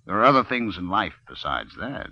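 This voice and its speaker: A menacing male gangster boss, with a low voice that swings widely. He is sardonic and brusque, in old crime drama style. The recording is dull and old. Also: sing-song, a little fast, dry recording. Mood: smooth, menacing. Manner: brusque, sardonic, self-assured. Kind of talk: old-time radio crime drama acting.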